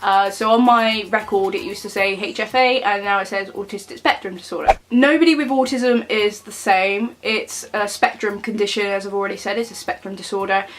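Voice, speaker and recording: A woman's voice: mid-range voice, steady tone, brisk, few pauses, soft background music, poor audio. A woman in her twenties talking to camera in a casual vlog, sounding nasal from a cold, chatty and plain-spoken with many fillers. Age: 20s. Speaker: vlogger.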